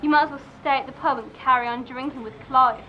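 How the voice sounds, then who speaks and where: Exasperated, suspicious wife, played by a young woman, high voice, slightly noisy radio-play recording. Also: steady tone, quick pace, lo-fi sound, natural voice. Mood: scathing, angry. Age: young adult. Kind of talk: amateur radio play acting.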